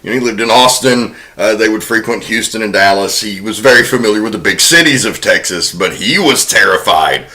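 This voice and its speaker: A man's voice: fairly low pitch, lively intonation, brisk, few pauses, pushed and bright. A man hosting a podcast, casually explaining film history in an informal, sometimes profane way. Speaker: podcast host.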